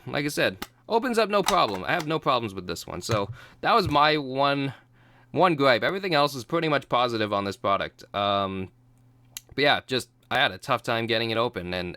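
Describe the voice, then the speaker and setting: A man's voice: medium pitch, pushed and bright, no echo. A young man with a cold, sounding nasal and congested, rambling casually through a product unboxing with many ums and restarts. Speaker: YouTube reviewer.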